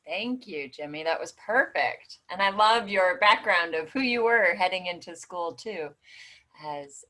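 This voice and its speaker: Lower-voiced woman moderating a panel. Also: sharp tone, rough recording. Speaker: host.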